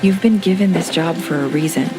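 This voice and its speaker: A woman's voice: on the low side, a little fast, lightly acted. An actress playing an anxious young woman confessing in a game, talking in hesitant, pleading bursts. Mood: earnest. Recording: game audio with loud music.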